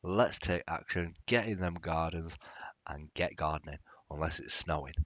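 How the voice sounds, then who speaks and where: A man's voice: deep voice, moderate pace, fairly bright tone, dry recording, highs cut off. A man hosting a podcast, talking in a soothing, casual and rambling way. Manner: chatty, rambling, with fillers. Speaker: podcast host.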